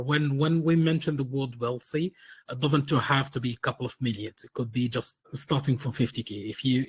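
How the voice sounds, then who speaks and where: Man, 40s, from Egypt, webinar presenter; husky, close and dry sound, hesitant delivery. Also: medium pitch, dry recording. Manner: halting, many fillers and restarts. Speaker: webinar presenter, tax expert.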